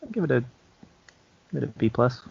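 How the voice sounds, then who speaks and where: A man's voice: mid-range voice, big pitch swings, a little fast, creaky, breathy edge, dull audio. A man co-hosting a podcast in easygoing, casual chat. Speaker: podcast co-host.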